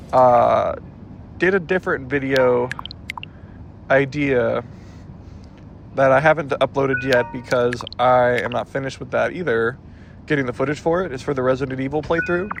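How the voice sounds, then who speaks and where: A man talks through a video game in a loose, rambling way, correcting himself as he goes. His voice is gravelly and a bit stuffed up. Game music plays clearly behind him. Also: mid-range voice. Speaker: gameplay commentator. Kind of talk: casual let's-play commentary.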